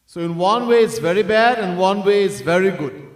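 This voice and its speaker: Swami giving a devotional talk, kinda high voice, sounds far from the mic in a big echoey hall. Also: male voice, animated, moderate pace. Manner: earnest, repeats key points for emphasis; breaks into sung chanting of verses. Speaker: swami, spiritual teacher. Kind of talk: devotional discourse. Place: temple hall.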